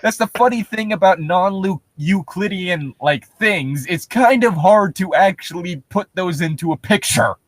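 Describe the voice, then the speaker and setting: A man's voice: high voice, expressive, a little slow, few pauses, dry recording. A man co-hosting casual comedic commentary on internet horror stories, joking and swearing as he goes. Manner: joking, swearing, breaking into laughter. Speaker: co-host.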